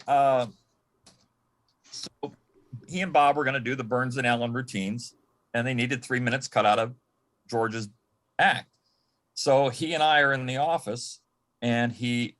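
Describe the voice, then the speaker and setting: A man speaks as a panel guest, sharing stories from his time as an assistant. His delivery is halting and conversational, with phrases doubled and sentences begun again. His pitch sits in the middle range for a man. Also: vocal fry, fairly bright tone. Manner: halting, repeats words and restarts sentences often. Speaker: panel guest, former personal assistant to an entertainer. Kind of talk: anecdotal storytelling in a panel interview.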